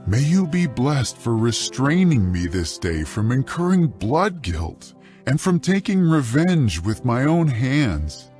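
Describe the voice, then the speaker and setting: An earnest male warrior leader from ancient Israel in a scripture drama. His delivery is slow and declamatory, with a lively, swelling range, over music. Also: medium pitch. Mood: fervent gratitude.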